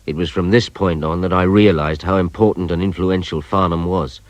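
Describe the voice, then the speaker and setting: An actor in a radio drama playing a weary police inspector who narrates his case in a measured, reflective way. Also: deep voice, steady tone, dry recording, close to an everyday voice. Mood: reflective, sombre. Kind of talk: scripted first-person radio drama narration. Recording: noisy radio drama recording, effects behind.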